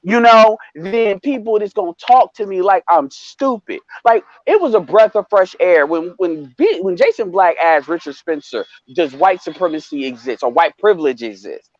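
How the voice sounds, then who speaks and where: High-voiced heated man on a muffled line. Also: normal speed, hardly any pauses, no echo. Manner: animated, emphatic, swearing, restarts. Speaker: live stream panelist. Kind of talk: heated casual discussion.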